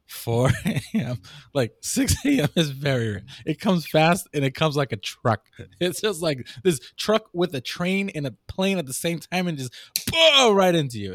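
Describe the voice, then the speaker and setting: A young man, a new father, chatting casually on a podcast and rambling through stories with sudden lively jumps in his voice. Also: normal speed, dry recording, studio quality. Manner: rambling storyteller, animated jumps in pitch. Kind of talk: casual chat.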